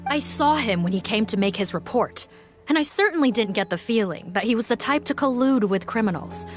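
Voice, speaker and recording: An actress playing a composed, serious female investigator with a measured, perceptive manner in video game dialogue. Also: on the high side, expressive, faint music behind, lightly acted.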